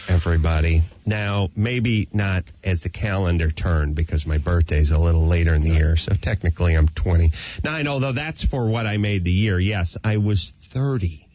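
A middle-aged man hosting a podcast, telling his own story in an animated, self-deprecating way. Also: deep voice, dry recording. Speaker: podcast host, comedian. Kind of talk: casual podcast chat. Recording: slightly dull, dry podcast audio.

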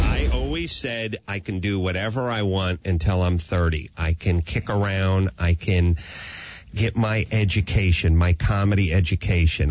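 Middle-aged male podcast host, lowish voice, self-mocking storyteller, dry close sound. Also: dry recording. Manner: animated, self-deprecating storytelling. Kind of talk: casual podcast chat. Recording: slightly dull, dry podcast audio.

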